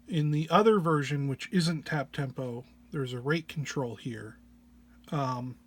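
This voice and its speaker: A man who sounds under the weather gives an informal tutorial walk-through in a low-energy, hesitant, halting way. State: under the weather, often clearing throat. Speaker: presenter of a music effects patch walk-through.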